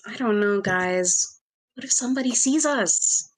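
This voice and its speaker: A nervous, prim young woman in a screenplay read, played by a woman. She disapproves of her friends, in a natural voice of average pitch. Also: unhurried, close to an everyday voice, low-quality recording. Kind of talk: amateur screenplay table read.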